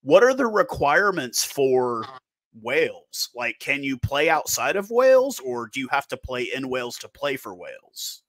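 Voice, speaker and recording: A man in his thirties hosts a casual chat through a headset mic, his voice bright and sharp. The sound is close and dry. He talks in an animated way from a small room. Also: medium pitch, normal speed, no room sound. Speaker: host. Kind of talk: casual video call chat.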